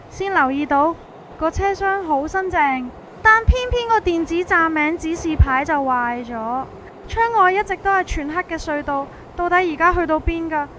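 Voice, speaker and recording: A woman's voice: very high voice, a bit bright, slight background noise. A woman with a Hong Kong Cantonese accent narrates a scripted suspense story in the first person, in a colloquial, dramatized way.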